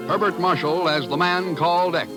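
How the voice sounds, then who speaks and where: A man's voice: high-pitched, steady tone, normal speed. A man announcing and narrating a 1950s radio show in a formal, emphatic, dramatic style. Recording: vintage radio broadcast, loud music behind. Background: loud music.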